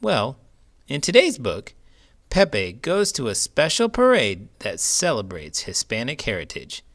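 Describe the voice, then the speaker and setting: A man's voice: mid-range voice, wildly swooping pitch, measured pace, fairly bright tone. A man hosting a children's show, reading a picture book aloud expressively with big emotions. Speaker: children's book reader and host. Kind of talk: expressive storybook read-aloud.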